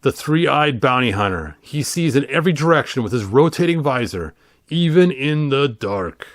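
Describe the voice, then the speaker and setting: A man's voice: medium pitch, expressive, normal speed, no room sound, close to an everyday voice. A man voicing a boastful, scheming undead villain from a storybook in a grandiose, declaiming manner.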